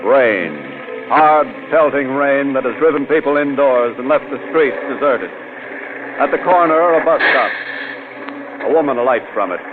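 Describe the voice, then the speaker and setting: An actor playing a terse, dramatic narrator of a hard-boiled 1940s radio crime story. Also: male voice, mid-range voice, animated, measured pace, narrow, tinny audio, lightly acted. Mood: tense, ominous. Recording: band-limited vintage 1940s radio recording. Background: music.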